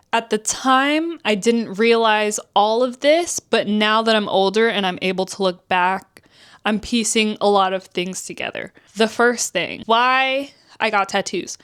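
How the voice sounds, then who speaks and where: A woman's voice: fairly bright tone, smooth. A woman in her twenties from New Jersey talking candidly to camera in a casual vlog. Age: 20s. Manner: chatty, candid, acts out quotes with pitch swings. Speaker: vlogger.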